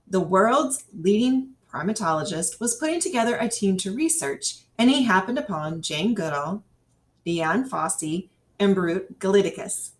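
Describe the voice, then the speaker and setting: A woman reads an educational nonfiction text aloud as a scripted narration, with careful, clearly phrased delivery. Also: medium pitch. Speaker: reader. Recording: distant microphone.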